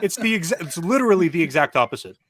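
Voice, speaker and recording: A man's voice: a little high, sing-song, rapid-fire, fairly bright tone. A man on a casual panel chat, giving his opinions emphatically.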